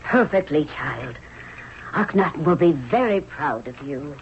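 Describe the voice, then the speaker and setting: An actress playing a commanding, motherly middle-aged queen of Egypt, brisk and fussing, in a radio drama. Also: low voice, expressive. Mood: brisk, proud. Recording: dull, noisy old radio broadcast.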